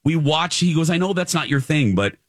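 Animated man chatting, very lively pitch. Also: no echo, clean studio sound. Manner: animated, effusive, halting and repeating himself. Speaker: radio morning show host. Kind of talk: casual chatty radio talk with personal anecdotes.

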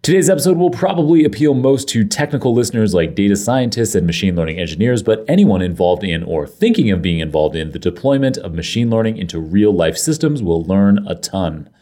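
A man's voice: lowish voice, expressive, brisk, few pauses, mellow. A man hosting a tech podcast, reading an enthusiastic scripted introduction and then chatting casually with his guest. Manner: enthusiastic and effusive. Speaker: podcast host. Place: home studio, guest on a remote call.

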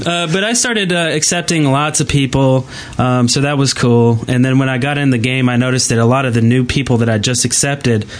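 A man's voice: medium pitch, steady tone, fairly quick, hardly any pauses. A man hosting a podcast, talking in a casual, conversational way about gaming. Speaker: podcast host.

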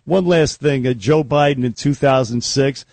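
A man's voice: mid-range voice, moderate pace, creaky, darkish tone, no room sound. A man hosting a political talk radio show, blunt and combative in his opinions.

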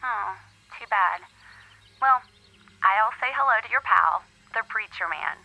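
Sharp, creaky young friend on phone. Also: female voice, medium pitch, animated, measured pace, muffled recording, exaggerated voice. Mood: prying. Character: chatty young female churchgoing friend, prying. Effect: telephone filter. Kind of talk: radio drama acting.

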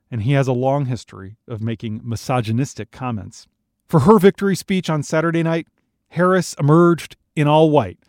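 An American man narrates scripted news as a podcast host. His voice sits a little high and is recorded very dry, with no room sound around it. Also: a little mellow, dry recording.

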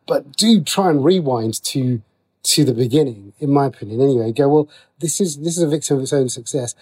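A man's voice: medium pitch, brisk, dark tone, husky. A middle-aged man speaking casually as an interview guest, explaining things in a chatty, rambling way. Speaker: writer and radio drama creator, interview guest.

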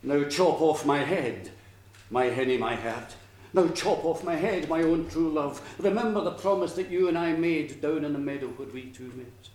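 A man voicing a small, insistent storybook frog who woos in slow, pleading, repetitive song. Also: fairly high pitch, darkish tone, natural voice. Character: wee pleading frog, insistent wooer singing in rhyme. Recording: echoey room, faint background noise.

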